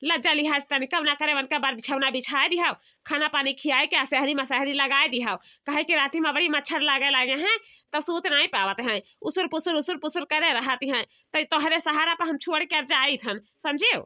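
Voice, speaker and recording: A woman's voice: high-pitched, moderate pace, highs cut off, natural voice. A woman playing a bossy, fretful village housewife in a comedy cartoon, chatty, scolding and repetitive, with an Awadhi accent.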